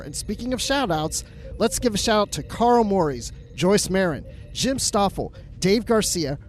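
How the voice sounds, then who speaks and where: Higher-voiced man co-hosting a podcast. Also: slight background noise. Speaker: podcast co-host. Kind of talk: casual chat.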